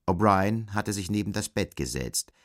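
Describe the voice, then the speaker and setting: A man's voice: fairly low pitch, animated, normal speed, no echo, studio quality. A man narrating an audio drama in scripted literary prose.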